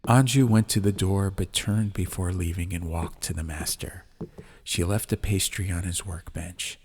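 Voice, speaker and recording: A man narrating a scripted audiobook in a measured, dramatic storytelling manner. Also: low-pitched, fairly even intonation, a little mellow, husky. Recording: ambient sound effects behind. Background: faint fantasy ambience.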